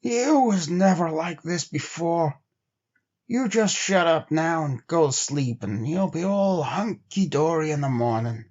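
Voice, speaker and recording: A man's voice: fairly high pitch, animated, a little slow, darkish tone, lo-fi sound, pitched above the performer's own voice. A man voicing an irritable, sleepy frontier sled driver with folksy speech, in a storybook reading. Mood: sleepy, dismissive.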